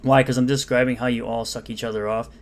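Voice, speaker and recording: A man's voice: mid-range voice, little pitch movement, fast. A man reading out and mocking comments in an unscripted, sarcastic rant. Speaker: commentary YouTuber.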